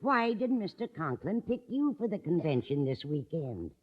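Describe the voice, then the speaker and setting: A woman's voice: on the low side, very animated, normal speed. A kindly, slightly dotty older lady in a vintage radio sitcom. She speaks in fluttery, swooping phrases, sweetly nosy, through a muffled old recording. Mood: puzzled. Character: kindly, mildly dotty older landlady and confidante. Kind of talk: 1950s radio sitcom acting. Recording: dull lo-fi 1950s radio recording.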